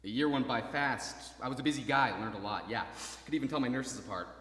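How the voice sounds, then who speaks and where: A man performs a maimed young soldier in a dramatic stage monologue. The voice is bright and intense, halting over repeated phrases, swinging from murmurs to cries. It rings with echo and long reverb in a big hall. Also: mid-range voice, lightly acted. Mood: wistful. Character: maimed, faceless young soldier, anguished, desperately hopeful.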